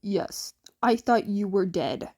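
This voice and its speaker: A woman voicing a tired, gruff male mentor with a curt, weary manner in a fan fiction reading. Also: medium pitch, animated, slow pace, darkish tone. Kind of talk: fan fiction character acting.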